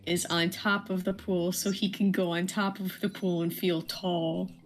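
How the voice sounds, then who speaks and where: A woman's voice: on the low side, level tone, unhurried. A woman co-hosting a livestream in casual chat, telling little stories and acting out reactions as she goes. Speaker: livestream co-host.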